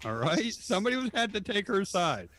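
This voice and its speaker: A man's voice: sits high, very animated, brisk, a bit bright. A man bantering casually as a panelist in a group chat, with crude joking. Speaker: stream panelist.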